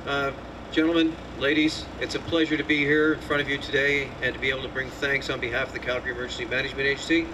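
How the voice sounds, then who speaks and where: A man's voice: medium pitch, little pitch movement, fast talker. A man speaking as an emergency management official, giving an earnest, formal thank-you speech. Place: outdoors before troops. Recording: distant mic, outdoor noise.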